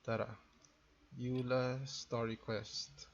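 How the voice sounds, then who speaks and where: A man's voice: medium pitch, level tone, takes it slowly, creaky, highs cut off. A man with a Filipino accent chatting casually as he livestreams a game. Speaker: game streamer.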